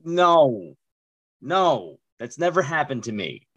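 A man's voice: a little high, big pitch swings, slow, pushed and bright, muffled recording. A man hosting a comedic podcast as a hesitant, stammering straight man. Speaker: podcast host.

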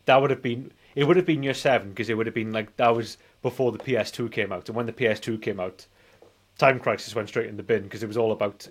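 A British man in his forties chatting casually as a podcast co-host, rambling and nostalgic. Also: lowish voice. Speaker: film review podcast co-host. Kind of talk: casual chat.

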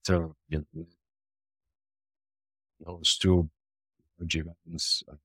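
Deep-voiced Lebanese-born man, relaxed. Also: very slow, husky, no echo. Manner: relaxed, hesitant with many restarts and fillers. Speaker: conference founder, guest. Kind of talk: casual interview answers.

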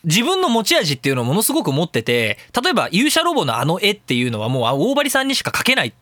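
A man's voice: on the high side, wildly swooping pitch, quick pace, bright, forceful tone, dry recording. A man hosting a casual radio talk show, rambling between serious points and crude, jokey rants.

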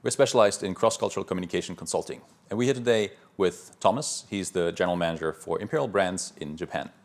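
Very deep, fast-talking male interviewer. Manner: brisk, fluent, prompting questions. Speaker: interviewer, consultant. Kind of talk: conversational business interview.

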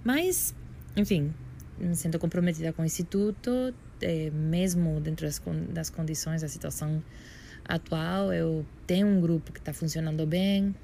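A woman with a Puerto Rican accent speaks as an interview guest in a low, mellow voice. She is middle-aged and reflective, pausing to search for words and correcting herself mid-sentence. Her answers unfold slowly as she thinks them through. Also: steady tone, creaky, a little hiss or hum. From Puerto Rico. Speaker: guest astrophysicist.

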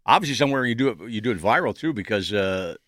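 A man's voice: lowish voice, lively intonation, fast talker, fairly bright tone, dry recording, clean studio sound. A man bantering casually as host of a talk show.

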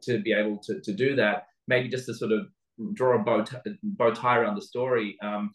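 A middle-aged Australian man answering interview questions in a halting, reflective, conversational way. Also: fairly low pitch, flat delivery. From Sydney, Australia. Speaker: interview guest, venture capital investor. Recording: roomy, echoing sound.

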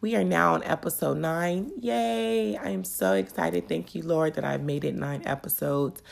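Very low, gravelly woman podcaster. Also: expressive, normal speed. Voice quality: crackly. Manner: earnest, personal, encouraging. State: congested with a cold. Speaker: podcast host. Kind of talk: faith podcast talk.

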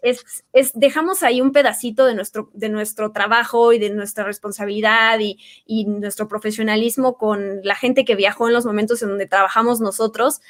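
A woman with a Mexican accent talks in a relaxed chat, her voice sitting a little high. She tells her stories at length, stumbling and restarting often as she goes. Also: normal speed, hardly any pauses. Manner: warm storytelling with many restarts and fillers. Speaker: guest. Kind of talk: casual chat.

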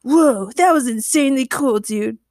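A woman playing an excitable, impatient young guy in a story, blunt and full of exclamations. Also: mid-range voice, normal speed, gravelly, no echo, studio quality, heavily acted. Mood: cheering.

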